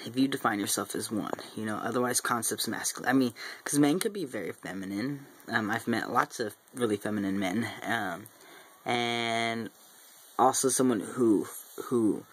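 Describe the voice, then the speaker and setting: A young man from Central Texas talks casually to his camera, his faint Texan accent surfacing now and then. He rambles and restarts his thoughts, filling pauses with ums. His voice sits at an ordinary middle pitch. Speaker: regular vlogger. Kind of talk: casual vlog monologue.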